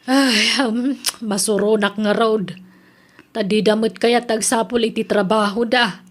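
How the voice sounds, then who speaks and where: A woman's voice: on the high side, fairly even intonation, unhurried. An Ilocano-accented woman playing a chatty village mother in a radio drama.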